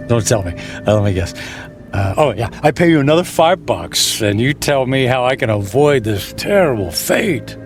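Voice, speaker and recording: An actor playing a brash, skeptical workaholic in a radio drama, with a wry, flippant way of talking. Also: male voice, mid-range voice, very animated, unhurried. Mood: annoyed, sarcastic. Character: skeptical, sarcastic workaholic man, brash and mocking. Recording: music behind the voice.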